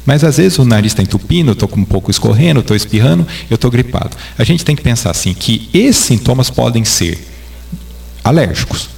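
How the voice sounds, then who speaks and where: A man's voice: mid-range voice, expressive, fairly quick, vocal fry, darkish tone. A man with a Brazilian Portuguese accent, a doctor answering interview questions in a patient, didactic way. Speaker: doctor guest.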